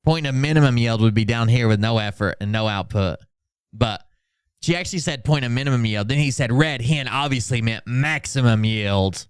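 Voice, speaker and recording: Sarcastic man with a clear, close voice. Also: medium pitch, normal speed, no room sound. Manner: sarcastic, sweary, mock-dramatic when reading posts out. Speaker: livestream host. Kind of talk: mocking commentary on social media posts, casual livestream chat.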